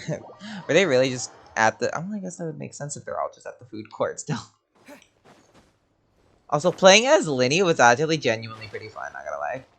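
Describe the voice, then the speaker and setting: Casual gaming streamer: medium-pitched voice, irreverent, slangy, muttering reactions to gameplay. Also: sing-song, a little fast, long pauses, fairly bright tone.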